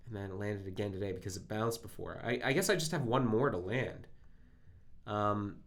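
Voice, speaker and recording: A man with a phlegmy throat from a cold hosts a live coding stream, thinking aloud in halting, self-correcting speech full of fillers. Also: fairly low pitch, creaky.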